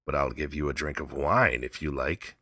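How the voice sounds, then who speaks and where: A man acts out a drunken old rogue from a storybook, greedy and threatening. He is gruff and blunt, with a low voice and a defiant edge, recorded close and dry. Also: steady tone, moderate pace, sharp tone, no echo, exaggerated voice. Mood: defiant, loud.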